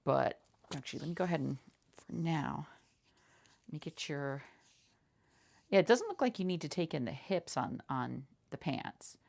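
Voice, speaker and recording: A woman speaking softly and casually in an ASMR roleplay as a seamstress, halting and often repeating small reassurances in a murmur. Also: deep voice, animated, vocal fry. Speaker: ASMR creator playing a seamstress. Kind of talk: soft-spoken ASMR roleplay.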